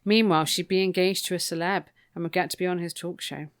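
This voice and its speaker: A woman with a fairly low, clean and smooth voice co-hosts a book podcast. She chats casually through the plot with a dry, irreverent edge and swears freely. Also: fairly even intonation, fast. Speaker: podcast co-host. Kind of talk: casual book recap chat.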